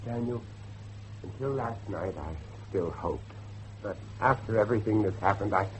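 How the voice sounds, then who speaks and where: An actor playing a grave, grieving father who believes in devilry, speaking emphatically with dramatic pauses in a horror drama. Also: mid-range voice, a bit bright, lo-fi sound, slight background noise, close to an everyday voice. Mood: anguished. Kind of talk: dramatic horror dialogue acting.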